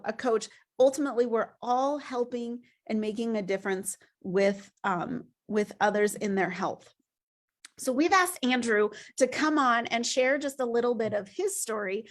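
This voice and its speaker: A woman's voice: fairly high pitch, normal speed. A woman hosting a webinar, upbeat and encouraging as she presents. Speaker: host.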